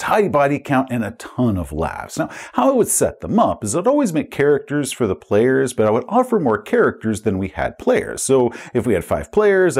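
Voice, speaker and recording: Highly animated male storyteller, slightly husky. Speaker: host, game master recounting stories. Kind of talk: animated anecdotal storytelling about tabletop gaming.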